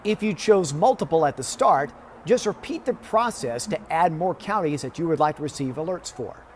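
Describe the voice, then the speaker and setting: Male weather presenter, somewhat high voice, scripted tutorial, orderly step-by-step delivery, faint background noise. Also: lively intonation, normal speed. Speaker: TV weather presenter giving a how-to demonstration. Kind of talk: scripted instructional narration.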